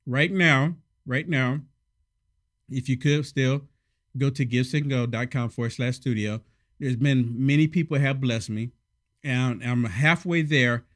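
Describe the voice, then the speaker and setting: A man hosting casual political commentary, sarcastic and emphatic, a little hoarse from a head cold. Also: mid-range voice, a little slow.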